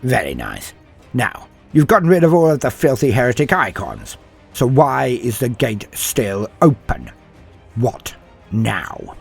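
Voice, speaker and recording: A man's voice: mid-range voice, wildly swooping pitch, unhurried, gravelly, slightly rough, soft background music, voice pushed up, full character voice. A man voicing an old, battle-weary commissar in a sci-fi war story, blunt and sardonic. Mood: sardonic, impatient.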